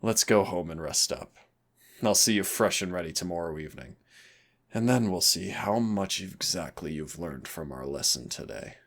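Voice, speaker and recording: Deep, gravelly male demon played by a man. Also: expressive, normal speed, a bit bright, full character voice. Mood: teasing, encouraging. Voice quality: very low, gravelly creaky voice. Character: teasing, rebellious centuries-old male demon hunter, wistful yet protective. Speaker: audio roleplay voice actor. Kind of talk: intimate second-person audio roleplay acting.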